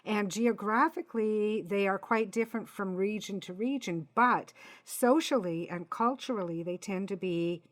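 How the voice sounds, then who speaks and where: A woman's voice: mid-range voice, moderate pace, few pauses. A middle-aged woman from Canada hosting an author interview podcast, chatty, leaning into stories. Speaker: podcast host.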